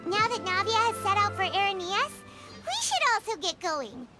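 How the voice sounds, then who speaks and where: A woman voicing a small, perky fairy girl companion in a video game, with a childlike, squeaky voice. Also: moderate pace, music in the background. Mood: eager. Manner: perky, expressive.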